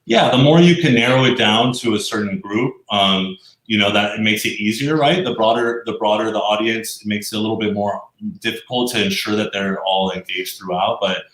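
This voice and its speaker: A man answering interview questions in a casual explanatory way, agreeable, with a hesitant, halting delivery. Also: lowish voice, fairly bright tone. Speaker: interview guest, animated explainer video professional. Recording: echoey, roomy sound.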